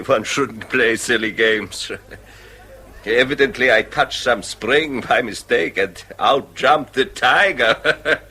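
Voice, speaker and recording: An actor playing a hearty, tipsy, middle-aged British knight with a bluff, condescending manner in a radio drama. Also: male voice, medium pitch, slow pace, bright, forceful tone, slightly rough, full character voice. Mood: sheepish, amused. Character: jovial, tipsy, teasing wealthy knight at a party. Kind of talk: stage-style radio drama acting.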